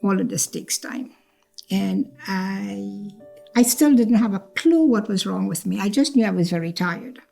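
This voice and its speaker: Older Trinidad-born woman, gravelly and warm. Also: mid-range voice, a little slow, soft background music. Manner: reflective, repeats phrases, acts out quoted speech. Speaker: cancer survivor telling her story. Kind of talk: personal storytelling interview.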